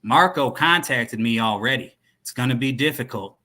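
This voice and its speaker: A man's voice: medium pitch, expressive, normal speed, pushed and bright. A man voicing a wary arms dealer with a put-on American cowboy accent and a folksy drawl.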